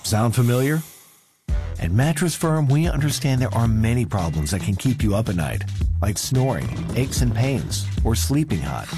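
Reassuring male ad voice, lively pitch. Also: normal speed, over music. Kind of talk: ad narration.

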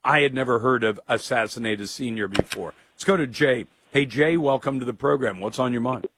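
Hoarse talk radio host with a cold. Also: male voice, mid-range voice, fairly bright tone, a little hiss or hum. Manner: opinionated, conversational, quick to jump in. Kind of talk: talk radio, taking calls. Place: radio studio. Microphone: studio microphone.

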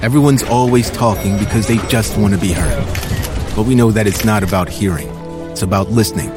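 Low voiced guy, sincere and reflective, psa read over music. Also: a little fast. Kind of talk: public service announcement.

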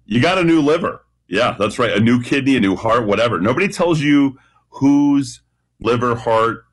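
A man with a deep voice calling in to a talk radio show, speaking with confident, emphatic storytelling and dramatic pauses. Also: lively intonation, breathy edge.

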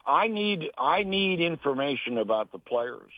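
A man's voice: fairly high pitch, sing-song, measured pace, no echo. American man on a tinny phone line. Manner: emphatic, repeats phrases for stress. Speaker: interview guest, sports announcer and broadcasting teacher. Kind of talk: earnest conversational interview answers.

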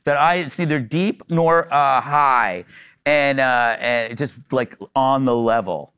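A man's voice: medium pitch, slow, fairly bright tone, smooth. A man hosting a panel talk show, joking with guests and laughing often. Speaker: host.

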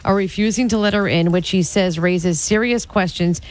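A woman anchoring a radio news bulletin, reading in a steady, measured way. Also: lowish voice.

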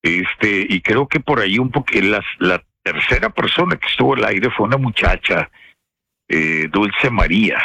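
A man from northern Mexico with a northern Mexican accent, a radio broadcaster telling anecdotes in a casual interview with expressive, slangy speech. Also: lowish voice, normal speed, creaky, slightly rough, no echo. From Piedras Negras, Mexico. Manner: colloquial, expressive storyteller with slang.

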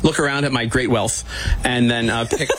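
A man in his thirties, a regular host, bantering in a quick, joking, self-mocking way. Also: mid-range voice, steady tone, fairly bright tone, soft background music, rough recording. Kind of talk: casual on-air banter.

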